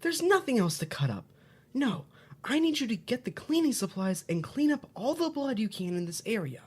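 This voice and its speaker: A woman's voice: fairly high pitch, sing-song, normal speed, a little mellow, husky. A woman playing a cheerful, bossy children's-show host in an audio drama, giving instructions in an upbeat, reassuring way. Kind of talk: audio drama, parody of educational kids' show.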